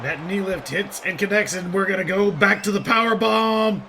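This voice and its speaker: Male play-by-play caller, high, gravelly, goofy and excitable; noisy mic. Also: moderate pace. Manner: excitable, rambling, bursts into shouted calls. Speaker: play-by-play commentator. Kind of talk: comedic wrestling commentary.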